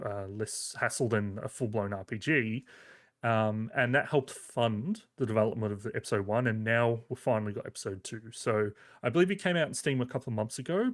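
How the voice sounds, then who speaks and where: A man giving a casual, conversational game review as a podcast reviewer, rambling a little with hesitant but enthusiastic phrasing. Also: mid-range voice.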